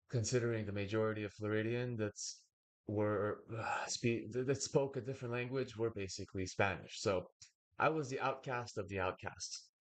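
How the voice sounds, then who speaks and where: A young man with a fairly low voice talks through a reaction video at an unhurried pace. He grew up with Bulgarian as his first language, and his remarks come out in long, hesitant, wordy stretches broken by pauses. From United States. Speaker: reaction streamer. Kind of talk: casual commentary.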